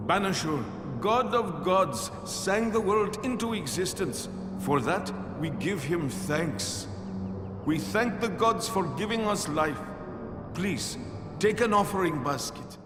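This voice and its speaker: A man's voice: sits high, expressive, slow, music in the background. A man playing a solemn, reverent monk with a welcoming manner in a game cutscene. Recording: echoey hall with long reverb.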